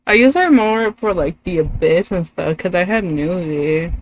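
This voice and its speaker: A woman's voice: on the low side, animated, measured pace, a little hiss or hum, poor audio. A woman livestreaming gameplay, chatting casually and thinking aloud in loose, rambling asides. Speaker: streamer.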